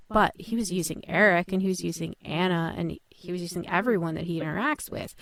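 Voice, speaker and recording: A woman's voice: fairly low pitch, fairly bright tone, no echo. A woman talking casually as a podcast panelist, thinking through her points with tentative, thoughtful phrasing. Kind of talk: casual discussion.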